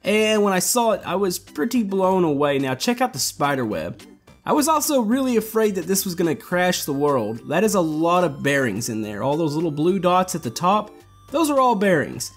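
Male gameplay host, slightly high voice, loose rambling tour, faint music bed. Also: normal speed, breathy edge. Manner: relaxed, rambling, many fillers and restarts. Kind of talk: casual walkthrough commentary. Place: voice-over while playing a building game.

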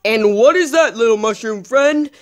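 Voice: medium pitch, moderate pace, very noisy, natural voice. A young performer voicing a friendly, dutiful police officer with a courteous, slightly pompous manner.

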